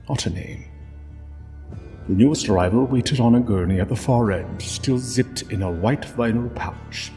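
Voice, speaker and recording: A man narrating a scripted literary story in a solemn, measured way with dramatic pauses. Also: lowish voice, a little mellow, big-room sound.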